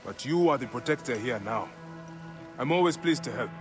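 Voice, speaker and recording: A grave, vengeful warrior of ancient Egypt, played by a male actor, speaks in a mid-range voice over clear background music. He is resolute and dramatic, in the manner of a story-driven video game. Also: medium pitch, expressive, normal speed, close to an everyday voice. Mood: grave, determined. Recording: music behind the voice.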